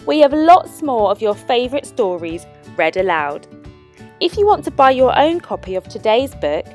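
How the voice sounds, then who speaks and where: A woman reading aloud as a children's storytime narrator, with expressive, careful and deliberate phrasing. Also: on the high side, soft background music. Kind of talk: scripted storybook read-aloud for young children.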